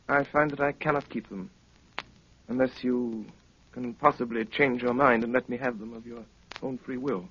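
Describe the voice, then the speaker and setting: An actor playing a cool, gallant gentleman detective in formal, measured 1930s radio style. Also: mid-range voice, husky, heavily acted. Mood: courteous. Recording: muffled, noisy 1930s radio broadcast.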